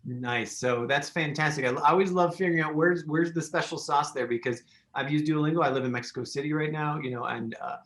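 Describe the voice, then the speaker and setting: A man's voice: on the high side, brisk, fairly bright tone, roomy sound. A man hosting a podcast interview, talking casually with enthusiasm and plenty of fillers and restarts. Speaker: podcast host.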